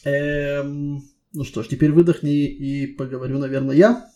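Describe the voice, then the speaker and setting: A man's voice: fairly high pitch, fairly even intonation, moderate pace, mellow. A man working as a technical interviewer, giving casual feedback in a reassuring, encouraging way.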